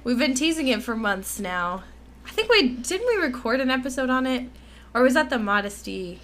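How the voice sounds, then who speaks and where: Bright-voiced young woman podcast co-host. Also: high-pitched, very animated, moderate pace. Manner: chatty, laughs often, many fillers and restarts. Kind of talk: casual chat.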